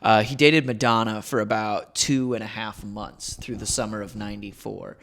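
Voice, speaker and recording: A man's voice: fairly low pitch, fairly even intonation, normal speed, creaky, a bit bright. A young man co-hosting a casual podcast, recapping a story in a laid-back way with frequent "uh" and "um" fillers. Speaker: podcast co-host telling the story.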